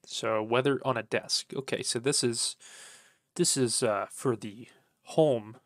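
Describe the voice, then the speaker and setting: A man's voice: mid-range voice, fairly even intonation, slow pace, dry recording, clean studio sound. A man chatting casually and informally, rambling in folksy grammar as he vlogs about his collection. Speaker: YouTube vlogger, vintage electronics collector. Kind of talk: casual rambling vlog chat.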